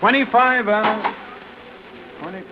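An actor playing an indignant, excitable counter clerk in a 1940s radio drama. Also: male voice, high-pitched, takes it slowly. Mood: incredulous. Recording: noisy, muffled 1940s radio recording.

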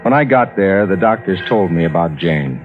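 An actor playing a sombre friend who narrates a vintage radio drama. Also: male voice, low voice, expressive, moderate pace. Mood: sombre, consoling. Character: sombre male friend narrating a strange tale. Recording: tinny old radio recording, loud music behind.

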